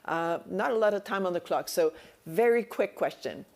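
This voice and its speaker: Woman moderator, low voice, hesitant and thoughtful. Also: lively intonation, normal speed, darkish tone. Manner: thoughtful, many fillers and restarts. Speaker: panel moderator. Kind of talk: conference panel discussion.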